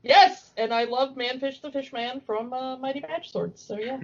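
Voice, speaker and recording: A woman with a fairly high voice chats casually as a podcast co-host. She is talkative and giggly. The audio is a little dull and lo-fi. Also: moderate pace.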